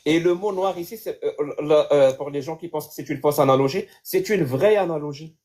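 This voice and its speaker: A man's voice: on the high side, fairly quick, a little mellow. A man with a Kabyle accent arguing earnestly and emphatically in a live debate, often stumbling over repeated words. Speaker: debate guest.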